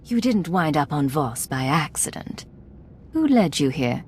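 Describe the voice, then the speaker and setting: A woman's voice: low-pitched, big pitch swings, unhurried, darkish tone, a little hiss or hum. A woman playing a cool, commanding Imperial secret agent in a video game, controlled and authoritative. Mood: firm.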